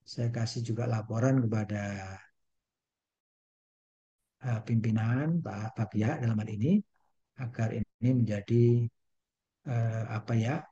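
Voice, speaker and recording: A man's voice: medium pitch, takes it slowly, pauses often, mellow, muffled recording. An older man from Indonesia giving an online lecture over a video call, folksy and peppered with English phrases and little rhymes.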